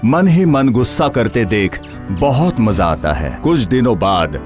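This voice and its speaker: A man's voice: medium pitch, very animated, unhurried, over music. A man reading scripted story narration as the narrator.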